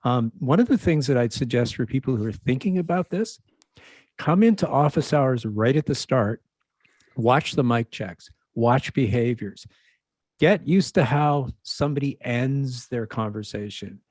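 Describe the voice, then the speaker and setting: Enthusiastic man, mid-pitched, very dry sound. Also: animated, unhurried, darkish tone, no echo. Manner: enthusiastic, emphatic praise. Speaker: panelist. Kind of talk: casual unscripted discussion. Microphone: microphone on an online video call.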